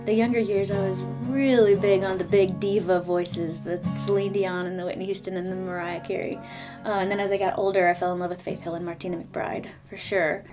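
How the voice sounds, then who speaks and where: A woman's voice: mid-range voice, level tone, brisk. A woman, a country singer, chatting enthusiastically in a casual interview. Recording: faint music underneath.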